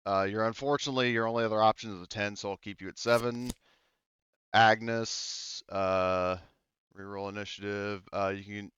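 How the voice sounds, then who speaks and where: Low, smooth-voiced game master man. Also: a bit bright, no echo, muffled recording. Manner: hesitant, many ums while describing scenes. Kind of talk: narrating a tabletop game.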